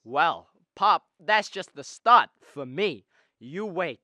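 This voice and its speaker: Young male dreamer student-played: eager, boyish, crisp bright tone, old-fashioned family radio drama. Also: mid-range voice, expressive, takes it slowly, no echo. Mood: dreamy, eager. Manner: enthusiastic, boyish. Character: eager young man George dreaming of travel.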